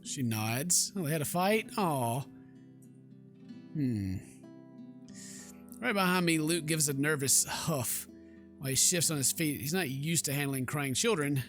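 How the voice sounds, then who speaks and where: A man's voice: fairly high pitch, lively intonation, unhurried, long pauses, soft background music. A man doing playful let's play commentary, reading game text aloud with exaggerated reactions. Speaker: gaming video host.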